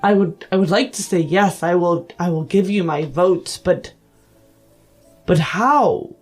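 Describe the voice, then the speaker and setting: A woman voicing a bearded, wealthy male magnate who is polite but skeptical, speaking in deliberate, formal phrases. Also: fairly low pitch, darkish tone, soft background music. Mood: skeptical, challenging.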